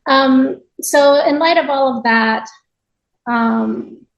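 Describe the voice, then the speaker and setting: A woman's voice: high-pitched, slow, vocal fry, lo-fi sound. A woman from Japan speaking as an interview guest, giving a reflective, conversational personal account with frequent small asides. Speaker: podcast guest, yoga teacher.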